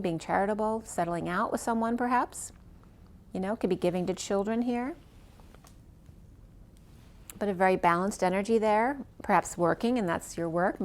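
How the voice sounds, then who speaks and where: A woman giving a casual tarot reading, talking in a chatty, meandering way with many fillers while she is losing her voice. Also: medium pitch. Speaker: tarot reader.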